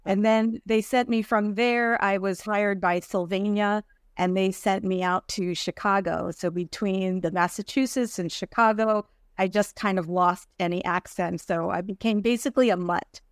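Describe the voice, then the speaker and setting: A middle-aged woman speaks as a guest on a podcast, chatty and relaxed as she tells stories about her life. Her voice is smooth and clear, and it is recorded close up in a dry room with no echo. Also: mid-range voice, moderate pace. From New Jersey. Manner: chatty, storytelling, laughs easily. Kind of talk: casual interview.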